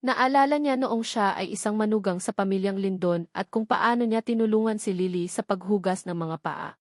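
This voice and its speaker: A woman's voice: mid-range voice, normal speed, no room sound, studio quality. A woman reading a novel aloud as a scripted audiobook narration.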